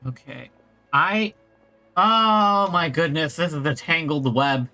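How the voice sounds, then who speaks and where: A man's voice: fairly high pitch, slow pace, sharp tone, soft background music. A man doing casual running commentary as a video game streamer.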